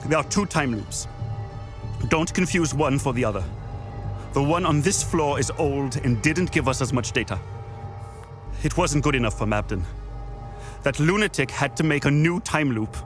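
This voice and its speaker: A man's voice: mid-range voice, lively intonation, normal speed, lightly acted. Grave, guilt-ridden man over prominent music acted. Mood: grave, urgent. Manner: grave, urgent. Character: guilt-ridden, bitter male insider pleading to end suffering. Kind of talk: dramatic video game acting.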